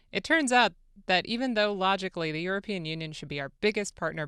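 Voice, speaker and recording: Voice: medium pitch, pushed and bright, clean tone, dry recording, clean studio sound. A radio producer doing a dramatic reading as an eager, deferential male foreign president, with emphatic, earnest delivery. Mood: agreeable. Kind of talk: dramatic reading of a phone-call transcript.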